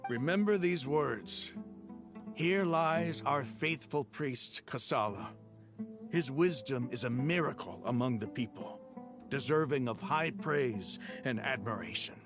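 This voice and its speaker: A man playing an earnest, hopeful desert fighter in a video game, with an expressive, heartfelt delivery. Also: medium pitch, a little slow, over music. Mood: solemn, hopeful. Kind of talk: video game character acting.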